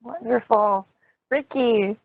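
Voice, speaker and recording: A woman's voice: fairly high pitch, slow pace, narrow, tinny audio, a little hiss or hum. A woman moderating a panel discussion, introducing speakers and thanking them. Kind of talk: event hosting, thanking panelists.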